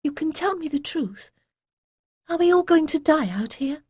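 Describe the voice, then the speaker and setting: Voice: high-pitched, animated, moderate pace, dry recording, studio quality, pitched above the performer's own voice. A narrator voicing an anxious but brave young woman acolyte, asking tense, pleading questions.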